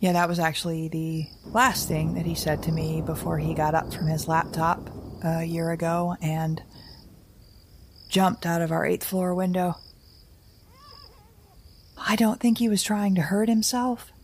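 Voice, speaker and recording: Creaky-voiced, anxious woman survivor. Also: fairly low pitch, fairly even intonation. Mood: haunted, grim. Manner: halting, rambling, self-correcting, wry. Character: anxious, wry, weary woman survivor keeping a tape diary. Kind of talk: naturalistic audio drama monologue.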